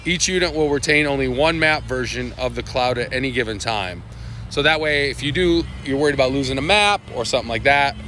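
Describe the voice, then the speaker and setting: Rambling man with a bright, crisp voice. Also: medium pitch, fairly quick, slight background noise. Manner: loose and rambling, with many fillers, restarts and asides. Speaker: livestream host presenting product updates. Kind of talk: casual live product walkthrough.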